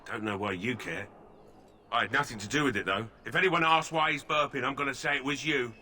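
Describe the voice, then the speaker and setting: A resentful prison guard grumbles about his duty in a surly, complaining way. His voice is extremely sharp and bright, at an ordinary middle pitch. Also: fairly quick, slight background noise. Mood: grumbling. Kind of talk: video game voice acting.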